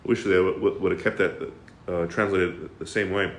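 A man leading a Bible study, explaining a passage with lively questions to the class and frequent fillers and restarts. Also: sits low, normal speed, echoey room. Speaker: bible teacher. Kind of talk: interactive bible study teaching.

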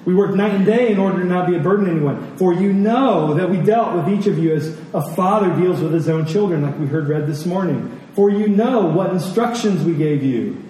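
A man delivers a sermon in a high-pitched, mellow voice. He repeats key phrases deliberately and punctuates his points with questions. The sound is very echoey, as if heard across a big hall. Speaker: pastor preaching. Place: large reverberant room, church service. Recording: very echoey hall, distant mic, faint noise.

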